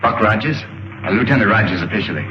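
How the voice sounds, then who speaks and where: An actor playing an earnest, bold pilot hero in an old film serial, speaking politely and crisply. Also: male voice, lowish voice, animated, quick pace, noisy background, lightly acted. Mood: matter-of-fact. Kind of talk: 1930s film serial acting. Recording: muffled old film soundtrack, roomy, faint music.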